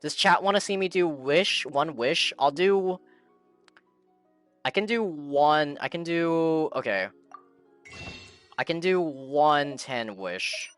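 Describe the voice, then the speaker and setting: A man's voice: fairly high pitch, animated, slow pace, long pauses, fairly bright tone, soft background music. A man chatting casually on a livestream while gaming, repeating himself in playful, pleading fashion. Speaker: game live streamer. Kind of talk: casual livestream chat while playing a game.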